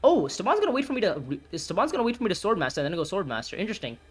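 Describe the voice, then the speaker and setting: A man in his early twenties streaming a board game, thinking his moves aloud, reacting loudly and swearing at bad turns. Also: high voice, wildly swooping pitch, racing, breathy edge. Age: 20s. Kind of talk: live gameplay commentary, thinking aloud.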